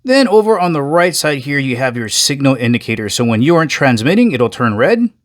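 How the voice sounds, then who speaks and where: A man's voice: medium pitch, very animated, brisk. Man narrating a casual product review. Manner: conversational, addresses viewers as guys.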